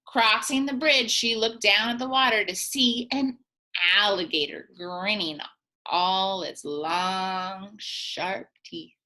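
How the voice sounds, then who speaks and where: A woman teacher reading a picture book aloud to young children, expressive and full of playful asides. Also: medium pitch, slow pace, rough recording. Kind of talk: children's storytime read-aloud.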